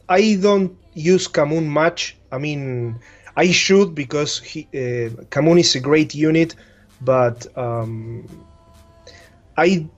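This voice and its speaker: Slow Argentine man speaking careful English. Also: fairly high pitch, highs cut off. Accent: Argentine Spanish. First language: Spanish. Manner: hesitant, repeats words while searching in English. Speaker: guest content creator. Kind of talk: casual chat giving game advice.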